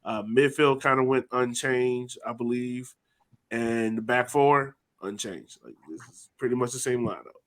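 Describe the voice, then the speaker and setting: This is a man hosting a fan podcast, talking loosely and jokily. He leans on fillers and a bit of swearing. His pitch sits in the middle for a man. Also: measured pace. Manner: loose, profane, joking, lots of fillers. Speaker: podcast host. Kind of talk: casual sports podcast chat.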